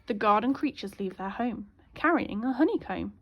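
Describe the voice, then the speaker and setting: A woman teacher reading a children's storybook aloud in an expressive, child-directed way. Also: a little high, normal speed, dull audio. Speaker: primary school teacher.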